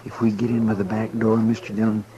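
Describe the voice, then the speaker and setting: A man's voice: on the low side, level tone, fast, muffled recording, crowd noise, natural voice. An American man playing an anxious, deferential western deputy sidekick in an old-time radio drama, folksy and respectful. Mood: anxious, hushed.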